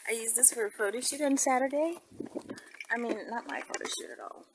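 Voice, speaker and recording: A woman's voice: a little high, brisk, noisy background. A woman host talking casually to her viewers, sassy and digressive, interjecting comments as she reads out messages. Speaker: host of her own video channel.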